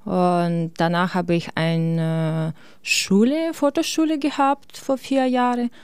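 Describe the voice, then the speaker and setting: A woman from Ukraine, a photographer in her forties, answering interview questions in halting German with many fillers. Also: on the low side, a little mellow, smooth, dry recording. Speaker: guest photographer. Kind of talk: radio interview.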